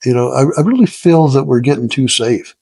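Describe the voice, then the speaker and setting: A man in his seventies talks reflectively as an interview guest, in a slightly husky voice. He is halting and self-deprecating, often pausing to find the word. The sound is dry and close. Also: mid-range voice, lively intonation, mellow, no room sound. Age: 70s. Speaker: interview guest, retired business founder. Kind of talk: casual reflective storytelling in an interview.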